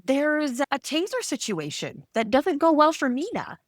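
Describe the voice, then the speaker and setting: Bubbly, lively-voiced woman host. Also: high voice, measured pace, no room sound, clean studio sound. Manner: bubbly, laughs often. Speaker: podcast host. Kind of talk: casual chat.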